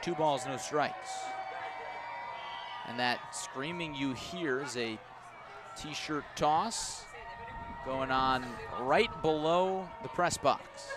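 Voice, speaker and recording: Slightly high-voiced man calling baseball live. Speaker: play-by-play baseball announcer. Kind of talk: live radio sports commentary. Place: press box at an outdoor ballpark.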